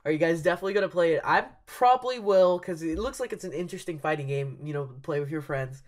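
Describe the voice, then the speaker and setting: Excitable young man with headset, rambling. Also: fairly high pitch, quick pace. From Cuban heritage. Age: young adult. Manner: casual rambling with many fillers and restarts, loud excited outbursts. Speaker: YouTube reaction host. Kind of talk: excited live reaction chat. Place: dark bedroom at night. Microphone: headset, webcam at desk.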